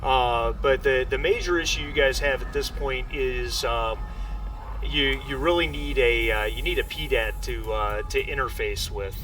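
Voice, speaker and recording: A man's voice: mid-range voice, measured pace, a little hiss or hum. A man acting as game master of a tabletop game, explaining things in a conversational way and often correcting himself.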